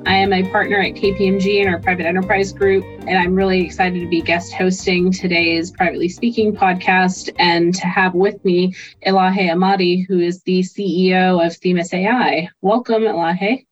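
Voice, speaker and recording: A woman's voice: lowish voice, level tone, moderate pace. A woman guest-hosting a business podcast, asking polished, upbeat interview questions. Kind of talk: scripted-sounding interview hosting. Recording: dull lo-fi audio with faint music.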